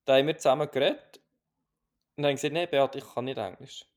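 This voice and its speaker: A man with a Swiss German accent, co-hosting a podcast in a calm, reflective chat with many restarts. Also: mid-range voice, quick pace. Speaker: podcast co-host.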